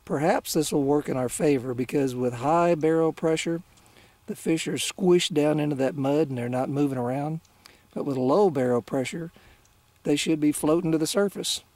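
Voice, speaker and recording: A man's voice: a little high, normal speed, a little mellow, dry recording. An older man hosting a fishing video from a small boat on a lake, chatting folksily to the camera and to the fish he catches. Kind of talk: casual talk to camera while fishing. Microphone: camera mounted on the boat. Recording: faint outdoor noise.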